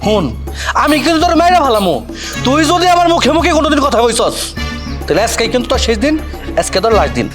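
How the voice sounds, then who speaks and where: Casting: actor as threatening, short-fused Bangladeshi husband, high voice, fast scolding, music behind. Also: sing-song. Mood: angry, threatening. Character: hot-tempered, threatening husband bullying his wife. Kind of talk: Bengali family drama acting.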